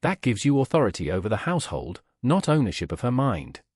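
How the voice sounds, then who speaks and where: A man voicing a composed, protective duke in an audiobook, formal, calm and deliberate. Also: medium pitch, breathy edge, no echo, studio quality. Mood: quietly firm.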